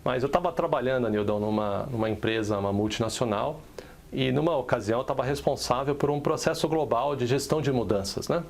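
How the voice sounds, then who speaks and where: A man's voice: mid-range voice, quick pace, vocal fry, slight background noise. A Brazilian man co-hosting a casual business podcast, telling a story from his own working life in a conversational way. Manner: conversational, recounts personal work story. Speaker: podcast co-host.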